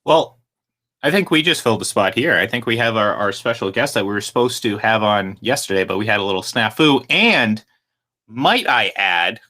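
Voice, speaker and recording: A man hosting a sports talk radio show, energetic and rambling, who laughs easily. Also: fairly low pitch, moderate pace. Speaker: radio host.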